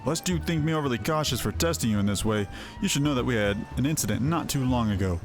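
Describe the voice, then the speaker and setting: A man's voice: medium pitch, expressive, quick pace, lightly acted. A man voicing a stern, sceptical veteran mercenary captain in a fantasy game, speaking in a blunt, formal, archaic way. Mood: brisk. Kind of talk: voiced fantasy game dialogue. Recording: game music behind voice. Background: game music.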